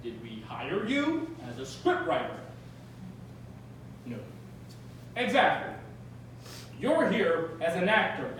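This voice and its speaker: A man playing a blunt, condescending male film producer who lectures in curt, commanding phrases. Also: sits high. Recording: roomy live theatre, distant mic, noisy.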